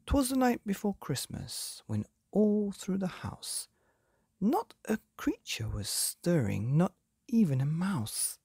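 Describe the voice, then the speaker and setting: A man reading a bedtime story expressively, in a soft, hushed, deliberate manner with dramatic pauses and a breathy, gravelly voice. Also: medium pitch, no room sound. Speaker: podcast host and storyteller.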